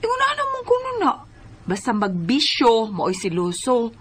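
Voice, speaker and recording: A woman's voice: a little high, huge pitch swings, measured pace. An actress playing a gossipy, suspicious friend with a Bisaya Philippine accent, insinuating as she talks, in a radio drama. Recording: background noise and ambience.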